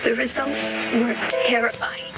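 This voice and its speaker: A woman's voice: on the high side, little pitch movement, a little slow, lightly acted. A woman voicing a proud, earnest young astrologer in an anime game, speaking in a formal, articulate way over music. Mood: solemn. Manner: formal, articulate, self-serious. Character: proud, earnest young female astrologer, prickly but soft-hearted. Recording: background music behind the voice.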